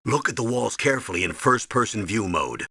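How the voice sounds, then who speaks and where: A stern American colonel briefing an operative in a video game. His low voice is husky and forceful, with clipped, instructional authority. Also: lively intonation, a little fast, no echo, studio quality, exaggerated voice. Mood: steady. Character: stern older military colonel giving mission orders by radio. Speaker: mission commander and briefer.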